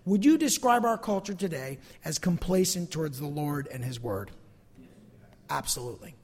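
A man's voice: on the high side, lively intonation, normal speed, a crowd in the background. A man preaching a sermon as a pastor, emphatic yet conversational, repeating phrases for emphasis. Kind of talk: sermon to a congregation, closing in prayer. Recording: long hall reverb.

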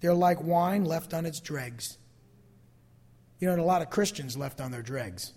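A man's voice: fairly high pitch, normal speed, mellow. A man preaching a sermon as a pastor, emphatic yet conversational, repeating phrases for emphasis. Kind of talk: sermon to a congregation, closing in prayer. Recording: long hall reverb.

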